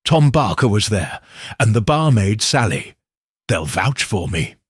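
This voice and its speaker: A man voicing a charming but strained and defensive young gentleman in a mystery audiobook. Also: lowish voice, sing-song, a little slow, slightly rough, no room sound, clean studio sound, heavily acted.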